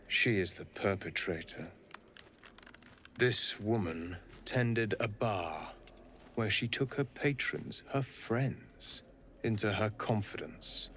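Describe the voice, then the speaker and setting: A solemn otherworldly male figure from a fantasy game. He speaks very slowly and gravely in old-fashioned phrases, in a lowish voice. Some ambient noise sits behind him. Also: fairly bright tone. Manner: slow, grave, archaic phrasing. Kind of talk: video game character dialogue.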